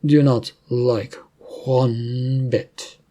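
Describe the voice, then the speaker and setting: A man voicing a cold, menacing male government agent in a story, formal, deliberate and accusing. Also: mid-range voice, fairly even intonation, mellow. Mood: stern accusation.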